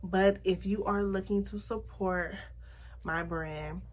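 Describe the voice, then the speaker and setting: A young woman vlogging to camera about her makeup business, chatty and frank, her voice a little raspy as she gets over a cold. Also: mid-range voice, steady tone. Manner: chatty, frank, drawn-out emphasis. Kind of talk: casual talk to camera.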